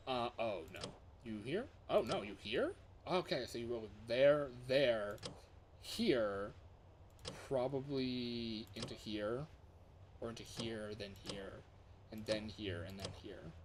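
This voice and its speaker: A man doing casual live gameplay commentary as a streamer, excitable and reactive, often muttering to himself as he plays. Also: mid-range voice, slight background noise. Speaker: livestreamer. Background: music.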